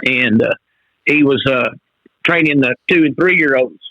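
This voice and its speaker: A Texan man in his fifties, a college rodeo coach, telling stories in an easygoing drawl with many pauses. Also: mid-range voice, dry recording, lo-fi sound. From North Texas. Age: 50s. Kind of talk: casual podcast interview.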